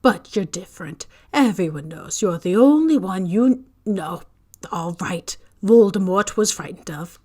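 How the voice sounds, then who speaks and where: A woman's voice: mid-range voice, lively intonation, unhurried, a little mellow. A woman voicing a stern older witch professor in a storybook reading, urgent and pressing, her voice raised and trembling.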